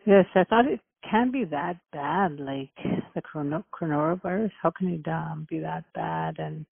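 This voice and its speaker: A middle-aged woman from Manitoba, Canada, answering interview questions by phone in an earnest, halting way. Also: on the low side, vocal fry, breathy edge, no room sound. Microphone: telephone. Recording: tinny phone line.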